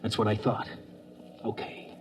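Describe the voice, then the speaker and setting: An actor playing a calm, skeptical colony executive in a measured, matter-of-fact 1950s radio drama style. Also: male voice, close to an everyday voice. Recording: faintly noisy vintage radio recording.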